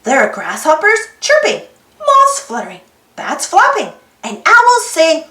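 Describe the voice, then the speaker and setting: A woman reading a children's storybook aloud in an expressive, sing-song way, putting simple questions to young listeners. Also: very high voice, unhurried, echoey room. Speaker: storybook reader. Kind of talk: children's read-aloud narration.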